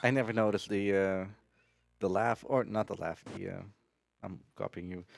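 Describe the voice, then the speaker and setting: A man's voice: deep voice, normal speed, vocal fry. A man giving casual live commentary as he speedruns a video game.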